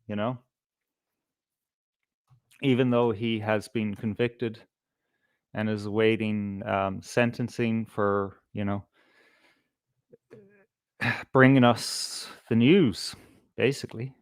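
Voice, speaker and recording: A man's voice: on the low side, clear, resonant tone, no echo. A man hosting a live stream, talking casually in a rambling, sweary, unscripted way. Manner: rambling, sweary, easily distracted. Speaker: host of a live stream show.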